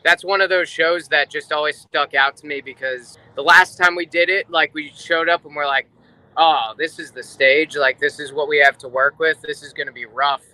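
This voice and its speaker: Very bright-voiced man from New Jersey. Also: on the high side, steady tone, normal speed, rough recording. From New Jersey, United States. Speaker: guest, rock drummer. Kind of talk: casual chat.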